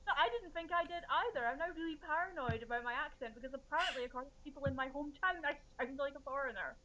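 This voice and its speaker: A woman chatting casually as a podcast co-host, animated and joking. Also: high-pitched, fast talker, muffled recording, a little hiss or hum. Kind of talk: casual chat.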